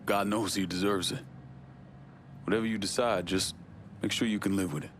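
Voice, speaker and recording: An actor with an American accent playing a tough, laconic gangster in a video game, blunt and restrained. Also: male voice, lowish voice, steady tone, normal speed, slight background noise, natural voice. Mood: guarded, sober.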